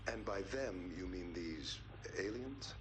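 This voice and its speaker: A man's voice: low voice, lively intonation, slow. A man playing a nervous, placating psychiatric evaluator in a video game, hesitant, on a noisy taped interview. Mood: probing. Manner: hesitant, stammering under pressure.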